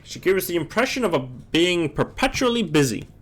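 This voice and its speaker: A man's voice: sits high, very animated, normal speed. A man hosting a casual gaming stream, chatting and reading game text aloud, often stumbling and rereading words.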